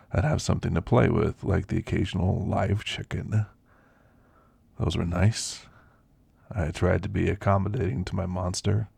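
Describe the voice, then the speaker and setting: A man playing an elderly, weathered werewolf with a gravelly, husky voice, reminiscing in a wry, understated way in an ASMR roleplay. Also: sits low, a little mellow, dry recording, full character voice. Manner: slow, understated, reminiscing storyteller. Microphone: close, dry microphone.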